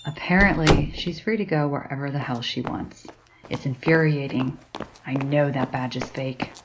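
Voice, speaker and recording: A woman's voice: extremely low pitch, moderate pace. A woman playing an exasperated, suspicious librarian in a fiction podcast, sardonic, sweary and grumbling. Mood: irritated. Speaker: actor in an audio drama. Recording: dull lo-fi sound with clear noise.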